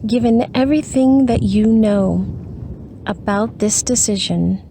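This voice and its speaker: A woman leading a guided meditation in a soothing, unhurried manner with long pauses. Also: on the high side, dark tone, slight background noise.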